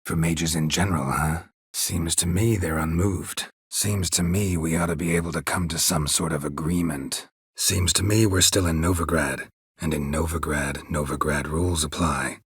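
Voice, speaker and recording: A gruff monster hunter for a game, really gravelly low voice, dry deadpan, super close dry sound. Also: male voice, moderate pace, dry recording, heavily acted. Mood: dry, stoic. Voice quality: husky, gravelly rasp. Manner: terse, deadpan, understated. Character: gruff, stoic, dry-witted monster hunter, adult man. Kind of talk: video game character dialogue.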